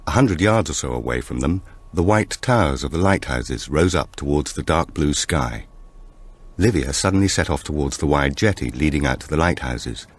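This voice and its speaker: A man reading a thriller audiobook in a measured, dramatic storytelling manner. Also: very deep voice, lively intonation, husky, no room sound. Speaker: audiobook narrator. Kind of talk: scripted thriller narration.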